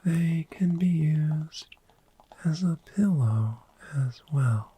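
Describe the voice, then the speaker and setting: A woman voicing a friendly, gentle, sleep-loving dragon in a soft-spoken ASMR roleplay, whispering soothingly and lingering over repeated words. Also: low-pitched, expressive, drawn-out words, full character voice. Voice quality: breathy whisper.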